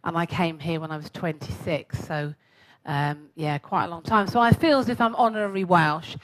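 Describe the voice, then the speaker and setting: A woman in her sixties with a London accent talks to a live audience in a chatty, self-mocking way, and her voice sits a little low. She jokes at her own expense and throws questions to the room as she goes. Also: normal speed, rough recording. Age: 60s. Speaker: guest speaker.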